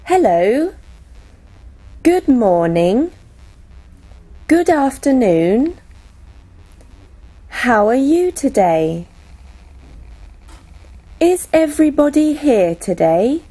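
A woman slowly reading out classroom phrases for teachers, each phrase spoken separately. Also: high voice, very animated, warm tone, breathy edge. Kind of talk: slow, clearly spoken classroom English read aloud.

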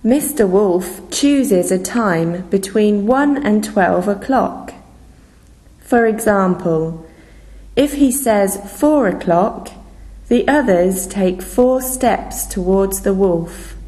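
A woman from England, reading game rules slowly for a listening lesson. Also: mid-range voice, lively intonation, warm tone, poor audio. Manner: very slow, deliberate, carefully spaced reading. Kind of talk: scripted listening-lesson narration.

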